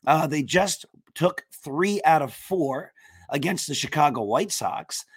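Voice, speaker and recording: A man hosts a casual sports talk show from a remote call, his voice worn and hoarse. He talks in an upbeat, chatty way and jumps from topic to topic. His voice sits a little high for a man, and the sound is close and dry. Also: expressive, takes it slowly, dry recording. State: losing his voice. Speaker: podcast host.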